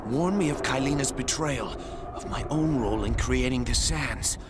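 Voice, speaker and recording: An actor playing a brooding, haunted warrior prince in a solemn, breathy dramatic game monologue. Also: male voice, mid-range voice, normal speed, very noisy, lightly acted. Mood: grim realization. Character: brooding haunted warrior prince, adult man, grimly determined. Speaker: video game protagonist. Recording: loud music under the voice. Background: loud music.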